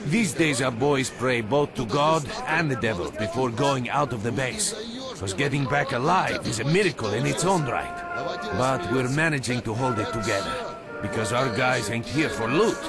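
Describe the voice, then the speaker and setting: A gravelly, folksy barkeep , played by a man in a video game talks over loud music. He is chummy and colloquial, an easygoing gossip with a joking streak. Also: mid-range voice, lively intonation, normal speed, natural voice. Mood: easygoing storytelling. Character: folksy, joking, gossipy swamp-base bartender. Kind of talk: video game character acting.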